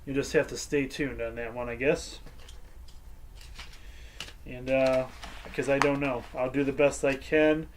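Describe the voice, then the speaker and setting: A man's voice: mid-range voice, flat delivery, a little slow, pauses often, a little hiss or hum. A middle-aged man hosting a casual sports preview show, rambling and hesitant, with a lot of fillers. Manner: rambling, hesitant, breaks into goofy sung tunes.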